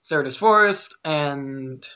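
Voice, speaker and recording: A man's voice: on the high side, expressive, takes it slowly, pushed and bright, highs cut off. A man with a Midwestern American accent talking casually as host of a podcast discussion. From Midwest United States.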